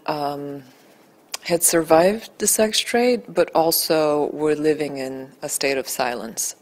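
Woman, 30s, low voice, earnest and articulate, film-maker being interviewed on a handheld mic. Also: a little mellow. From Bulgaria. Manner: earnest, articulate, building emphasis. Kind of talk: interview answers. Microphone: handheld mic held by interviewer.

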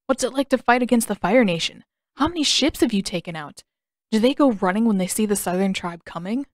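A woman's voice: mid-range voice, lively intonation, a little fast, no echo, clean studio sound, lightly acted. A woman voicing an eager, cheeky teenage boy, a blunt and pushy brother, in an audio story.

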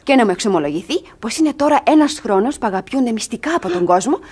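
A high, husky young ward. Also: female voice, animated, a little mellow. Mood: flustered, scheming. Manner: dramatic, emphatic. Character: young female ward, cunning, passionate, desperate lover. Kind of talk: Greek radio theatre comedy acting.

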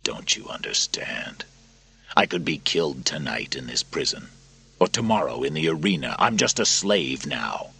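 A man doing a grim, husky enslaved gladiator. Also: big pitch swings, bright, forceful tone, heavily acted. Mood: bitter. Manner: low, slow, grim. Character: bitter enslaved male gladiator, grim and weary.

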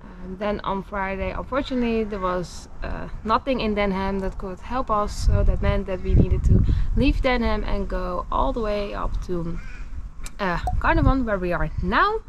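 A young woman chatting casually to a camera in a camper van, with frequent halting pauses. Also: mid-range voice, lo-fi sound, background noise. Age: young adult. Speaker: travel vlogger. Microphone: camera at arm's length.

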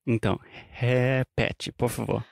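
Guy co-hosting a portuguese podcast, slow and steady, keeps asking questions, dry mic. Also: mid-range voice, vocal fry, dry recording. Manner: asks questions and checks understanding. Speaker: podcast co-host. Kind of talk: casual language-teaching chat.

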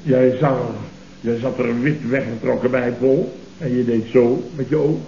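An actor playing a long-winded, aggrieved office boss in an old comedy radio play, theatrical and pompous. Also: male voice, mid-range voice, fairly even intonation, normal speed, mellow, a little hiss or hum, close to an everyday voice. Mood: pompous, indignant. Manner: theatrical, pompous, repeating his listener's name. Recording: old roomy, muffled radio recording.

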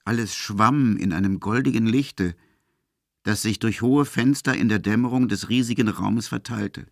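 A man's voice: on the low side, moderate pace, creaky, dry recording, clean studio sound. A man reading scripted literary narration as the narrator of a radio play.

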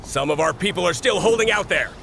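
Gravelly, cynical mercenary leader. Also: male voice, sits high, animated, fast talker, noisy background. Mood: urgent. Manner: blunt, sarcastic. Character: gruff, cynical male mercenary squad leader. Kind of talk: video game character acting.

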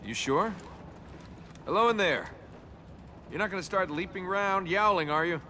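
A man's voice: sits high, normal speed, pushed and bright, slight background noise. An American man playing a cocky, wisecracking young adventurer prince in a video game, with flippant, sardonic banter. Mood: teasing, relaxed. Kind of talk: video game cutscene acting.

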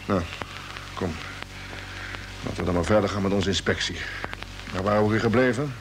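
An actor playing an earnest, troubled space commander, pressing urgently and emphatically, in old Dutch radio drama style. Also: male voice, lowish voice, moderate pace, over music, natural voice. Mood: wary. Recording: noisy vintage radio play recording.

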